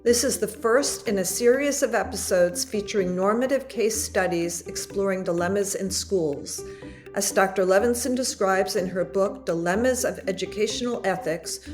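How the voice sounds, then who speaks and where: A woman's voice: medium pitch, darkish tone. A woman co-hosting a podcast, reading a scripted introduction. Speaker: podcast co-host.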